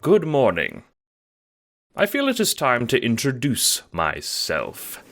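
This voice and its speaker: A man's voice: mid-range voice, wildly swooping pitch, takes it slowly, a bit bright, exaggerated voice. A man playing a genteel, smug, theatrical guardian villain in an audio drama, speaking in a pompous, wordy and affectedly courteous way. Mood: smugly courteous. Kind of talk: audio drama monologue addressed to the listener.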